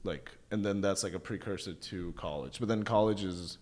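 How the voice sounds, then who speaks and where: A man's voice: low-pitched, moderate pace, darkish tone. A young man chats as a podcast guest. An Urdu speaker, he talks in a casual, slangy, joking way. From Pakistan. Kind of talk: casual conversational interview.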